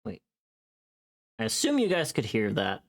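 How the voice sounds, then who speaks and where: A woman's voice: rumbling low, wildly swooping pitch, measured pace. A woman livestreamer chatting casually and informally while she works, thinking aloud.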